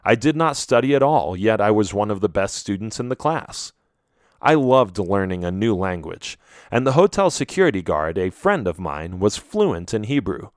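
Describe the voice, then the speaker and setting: A middle-aged man, a native Arabic speaker from Jerusalem, reads his memoir aloud in English. His voice sits fairly low and the sound is dry and close, with no room around it. The reading is steady, measured and earnest. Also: no room sound. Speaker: author narrating his memoir. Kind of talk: audiobook memoir narration.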